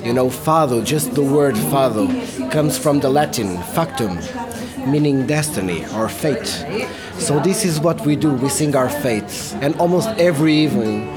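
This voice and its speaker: A man's voice: medium pitch, lively intonation, moderate pace. A man, a bar manager explaining things in an interview, passionate and repeating small words. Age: 30s. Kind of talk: interview answers. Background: loud music.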